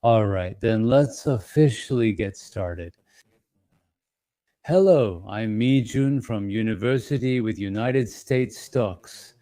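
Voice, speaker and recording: A man hosting an investing channel, talking in a gentle, reassuring and slightly apologetic way to his members, with small fillers as he thinks aloud. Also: lowish voice, slow pace, no room sound, clean studio sound. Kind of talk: casual live-stream talk to members.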